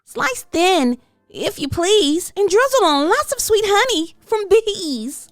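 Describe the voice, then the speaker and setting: A woman's voice: extremely high pitch, very animated, slow, no room sound, studio quality, faint music behind, voice pushed up, exaggerated voice. A woman voicing a tiny, eager little girl who makes polite but bossy demands in a children's storybook. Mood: eager, insistent. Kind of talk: children's storybook read-aloud.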